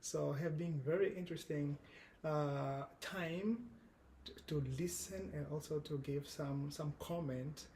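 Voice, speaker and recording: A man's voice: a little high, fairly even intonation, dark tone, a little hiss or hum. Angolan man with Portuguese accent. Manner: earnest, measured, with halting restarts. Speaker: guest. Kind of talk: casual conversation.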